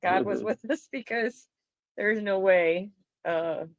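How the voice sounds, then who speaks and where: A woman's voice: medium pitch, a little slow, dry recording. Casual woman with a Chicago accent. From Illinois, Chicago area. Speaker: guest on a livestream. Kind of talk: casual livestream chat.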